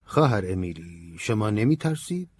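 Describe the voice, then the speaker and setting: A man voicing an old male priest who speaks in a gentle, preaching, earnest manner. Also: fairly low pitch, very animated, breathy edge, no room sound. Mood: reproachful. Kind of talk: audiobook character voice.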